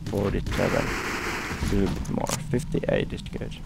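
A man's voice: fairly low pitch, level tone, unhurried, gravelly, very noisy. A man with a Finnish accent casually commentating his gameplay, a Finnish native speaker hesitating and searching for English words. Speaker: gaming channel host. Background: game music.

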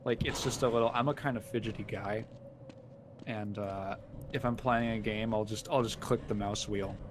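A lower-voiced man, hoarse and worn, chats casually on a gaming stream and rambles through stories between laughs. A clear background hiss runs under his voice. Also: steady tone, moderate pace. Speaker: co-host guest on a stream.

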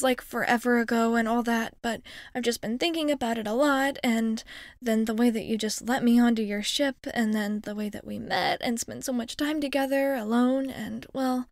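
A woman's voice: fairly high pitch, dry recording, clean studio sound. A woman voicing a shy, sweet young alien girl in a hesitant, earnest, intimate ASMR roleplay monologue.

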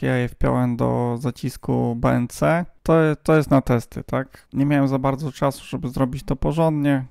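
A man's voice: medium pitch, vocal fry, a little mellow, no echo. A man hosting a casual, explanatory hobby radio vlog, talking outdoors close to a handheld phone. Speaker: amateur radio hobbyist host.

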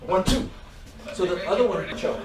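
A fairly high-voiced man walks students through techniques, chatty and relaxed, punctuating moves with quick sound effects. He is heard from across a roomy, echoing training hall, the mic far off. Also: crowd noise. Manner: loose, laughing, demonstrating moves with sound effects. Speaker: martial arts instructor. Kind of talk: casual teaching at a dojo. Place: large indoor training room with mats. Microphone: fixed camera high in a corner, far from the speaker. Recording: echoey room, voice from far off.

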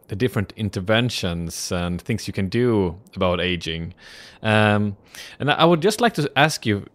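Low-voiced man asking hesitant questions. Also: a bit bright, dry recording. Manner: hesitant, searching questions with restarts. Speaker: podcast host. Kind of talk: interview questions.

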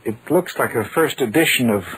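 An actor in old-time radio suspense playing a serious, uneasy man who tells his eerie tale in a measured, ominous way. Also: medium pitch, lively intonation, a bit bright, husky. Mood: grave, intrigued. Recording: noisy, hissy 1940s radio recording.

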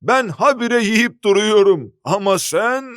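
An easygoing cartoon sea glutton, drawls very slowly in a high, swooping voice. The sound is dry, with faint music beneath. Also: male voice, lively intonation, no echo. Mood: lazy, agreeable. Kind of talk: children's cartoon dubbing. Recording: music bed under the voice.

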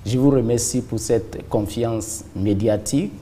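A man's voice: medium pitch, unhurried, dark tone, a little hiss or hum. A man in his thirties with a Cameroonian accent, a television journalist asking formal questions with frequent fillers, recorded on a lapel mic in a formal room. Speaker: TV journalist interviewer. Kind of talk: formal broadcast interview. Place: formal reception room indoors.

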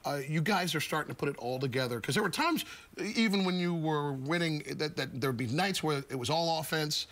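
A middle-aged man hosting a postgame TV studio interview, joking and animated as he asks questions. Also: fairly high pitch, brisk, few pauses. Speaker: studio host. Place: TV studio set.

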